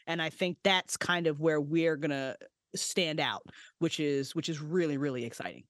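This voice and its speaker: A woman's voice: deep voice, normal speed, no echo, studio quality. A woman guest on a podcast, talking casually and bluntly with frequent swearing. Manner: blunt, profane, animated. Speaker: podcast guest, audio drama creator. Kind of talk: casual interview chat.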